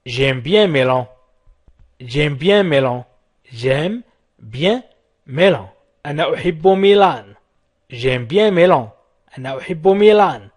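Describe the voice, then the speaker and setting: A man teaching a language, slowly repeating each short phrase several times and then translating it. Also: a little high, expressive, darkish tone.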